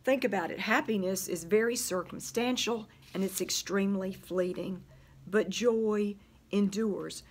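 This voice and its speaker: Slow-spoken older woman teaching scripture. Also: mid-range voice. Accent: Southern US. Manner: slow, deliberate teaching with emphasis on scripture quotes. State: voice still recovering from flu. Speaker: Bible study teacher. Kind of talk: church Bible study lesson. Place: church library with bookshelves, indoors.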